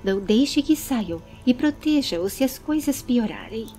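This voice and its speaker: A woman's voice: on the high side, expressive, measured pace, warm tone. A Brazilian woman voicing a commanding, protective lady with firm maternal authority in a dramatized fantasy audiobook.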